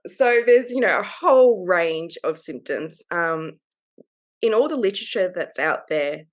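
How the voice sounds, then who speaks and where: A woman's voice: fairly low pitch, expressive, measured pace. A woman in her thirties with an Australian accent, speaking candidly and openly as an interview guest. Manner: candid, open, laughs easily. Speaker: guest author. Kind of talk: casual interview conversation.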